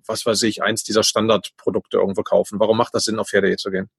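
A man hosting a podcast interview, relaxed and conversational, asking follow-up questions. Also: fairly low pitch, fast talker, gravelly, slightly rough, dry recording, studio quality. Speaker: podcast host.

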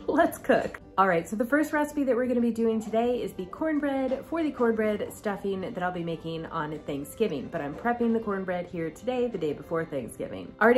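A woman's voice: medium pitch, a little mellow. A woman in her thirties chatting casually in a home cooking vlog, walking through recipes step by step. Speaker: vlogger. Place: home kitchen, then a room with bookshelves.

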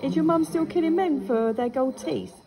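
A woman ghost hunter, coaxing a spirit in a dark church at night and repeating her questions. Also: sits high, moderate pace, background noise. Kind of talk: calling out to a spirit.

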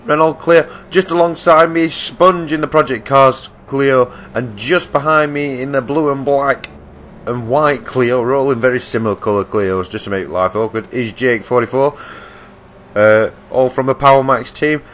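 A man giving chatty live commentary on a racing game, his voice croaky and hoarse as he loses it, often restarting his sentences. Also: medium pitch, normal speed, a bit bright, slight background noise.

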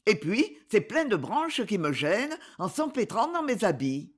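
A woman voicing a calm, talkative boy in a storybook reading, speaking in a matter-of-fact way. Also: mid-range voice, measured pace, breathy edge. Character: calm boy who remarks on everything.

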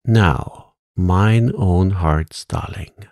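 A man voicing an old female witch who coaxes and dotes in old-fashioned speech, with a sharp tone. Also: extremely slow, no echo, clean studio sound, heavily acted. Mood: doting. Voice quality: high, sharp tone. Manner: coaxing, old-fashioned thou-and-thee speech. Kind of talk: audiobook character reading.

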